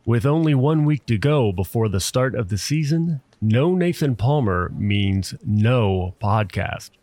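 A man's voice: medium pitch, expressive, a little slow, darkish tone, no room sound. A man narrating a scripted audio drama in a mock-serious, dramatic manner.

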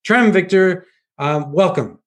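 A man's voice: sits high, takes it slowly. A man hosting a podcast interview, upbeat and eager as he introduces guests and asks questions. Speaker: podcast host.

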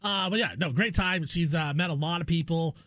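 Male sports host, fairly high voice, casual chatty delivery, joking asides, very dry close sound. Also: brisk, pushed and bright, no echo.

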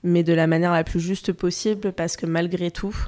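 A woman's voice: fairly low pitch, level tone, moderate pace, a little mellow. A young woman from France, an artist talking through her photography in a casual interview, thinking aloud with fillers and restarts.

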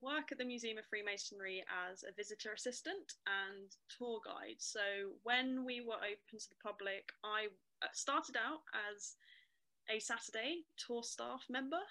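A young woman, a storyteller and museum guide chatting lively and fluently in an interview, with frequent ums. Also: mid-range voice, poor audio. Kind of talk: casual interview chat.

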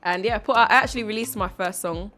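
A woman's voice: medium pitch, fast, sharp tone, faint music behind. A woman in her twenties, a singer, chatting easily as a guest in a radio interview. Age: 20s. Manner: giggly, easygoing. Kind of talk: casual interview chat. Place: radio studio.

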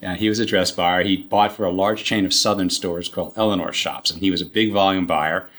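Deep-voiced New man in his 60s. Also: fairly even intonation, a bit bright. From New York, USA. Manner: halting, many restarts, wry humor. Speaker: guest, company CEO. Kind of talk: casual interview talk.

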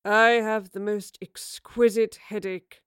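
A woman's voice: mid-range voice, normal speed, no room sound, clean studio sound, natural voice. A woman voicing a languid, witty male aesthete with a pompous, drawling, ornate manner in an audiobook. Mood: groaning, wry. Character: languid, witty, theatrical gentleman aesthete, grandly polite.

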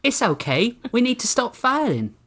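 A narrator playing a high-pitched, gushing frontier woman. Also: big pitch swings, moderate pace, a bit bright, breathy edge, heavily acted. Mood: pleading. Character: besotted saloon woman, earnest and pleading.

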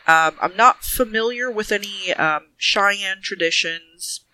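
A man's voice: high-pitched, slow, pushed and bright, rough recording. A man playing a tabletop role-playing game, improvising casual dialogue with polite, hedging questions.

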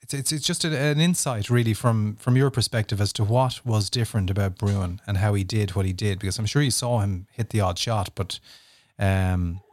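A man's voice: fairly low pitch, normal speed, darkish tone, no echo. A man interviewing a guest, with long, rambling questions full of fillers.

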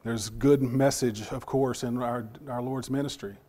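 A man preaching a sermon to his congregation, his voice partly lost and still recovering, talking in a halting way with repeated words and questions to the listeners. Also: medium pitch, fairly even intonation, warm tone.

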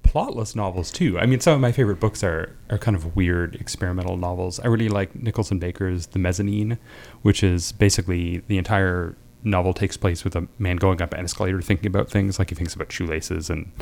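A man's voice: sits low, a little fast, creaky, hardly any pauses, darkish tone. A man, a guest writer, talking casually about fiction on a podcast. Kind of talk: casual literary discussion.

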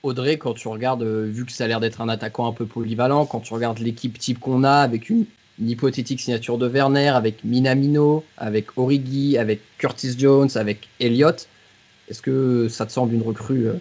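A man's voice: mid-range voice, steady tone, normal speed, a little mellow, lo-fi sound. A man hosting a football podcast, giving views and passing questions to guests. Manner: hands over questions to guests. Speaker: podcast host. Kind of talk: moderating a podcast.

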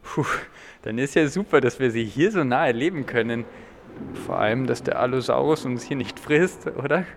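A man's voice: mid-range voice, normal speed, gravelly, slight background noise, close to an everyday voice. A man playing a curious, eager, good-natured boy who loves dinosaurs in an audio play for young listeners. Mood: relieved, joking.

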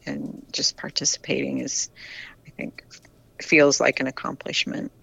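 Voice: mid-range voice, monotone, unhurried, vocal fry, a bit bright, slightly rough, no room sound, rough recording. A retired cyclist in their sixties from the United States, chatting candidly in an interview with frequent restarts. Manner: chatty, candid, many restarts and repeated words. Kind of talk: casual interview answers.

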